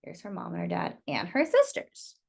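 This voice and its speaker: A woman's voice: on the low side, wildly swooping pitch, moderate pace, vocal fry, a little mellow, breathy edge. A woman reading a picture book aloud to young children, expressively and with little asides to her listeners.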